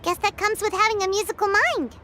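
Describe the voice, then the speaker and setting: A woman's voice: extremely high pitch, fairly quick, bright, forceful tone. An actress playing a tiny, chatty, chirpy female sprite companion who refers to herself by name. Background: game music.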